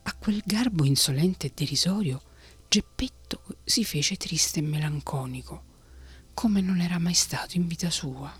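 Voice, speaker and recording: A woman reading a children's story aloud in a soft, unhurried whisper. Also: lowish voice, husky.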